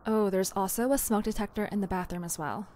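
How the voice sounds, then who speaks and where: A woman's voice: mid-range voice, quick pace, darkish tone, a little hiss or hum, lightly acted. A woman playing a teasing, unflappable and secretive co-worker in an audio drama, playful and nonchalant. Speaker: podcast drama actor.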